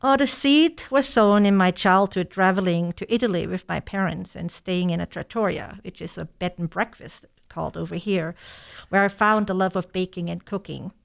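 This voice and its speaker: A woman's voice: on the low side, normal speed, vocal fry. A woman from Germany, a baker and chef, answering interview questions in a somewhat formal way.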